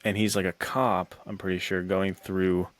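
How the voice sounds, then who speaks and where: A man's voice: low voice, flat delivery, normal speed, no echo. A man chatting casually as a podcast co-host, blunt and full of bro slang. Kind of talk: casual movie chat.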